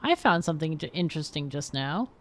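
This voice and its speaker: A woman's voice: low voice, animated, fast talker. Woman hosting a casual game livestream. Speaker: livestream host playing a game. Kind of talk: casual live game commentary and chat.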